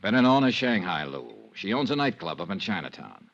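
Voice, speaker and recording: An actor playing a wry, hard-boiled American insurance investigator in a 1950s radio detective drama, clipped and worldly. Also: male voice, sits low, very animated, fairly quick, gravelly, a bit bright, exaggerated voice. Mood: pressing, sardonic. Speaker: lead actor and narrator. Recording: dull vintage radio recording, faint music.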